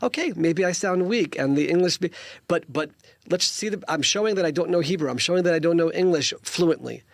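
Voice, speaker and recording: A man's voice: on the high side, brisk, vocal fry, dry recording. A man in his fifties, originally from the United States, earnest and preachy as a studio interview guest, often restarting his sentences. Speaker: guest, politician.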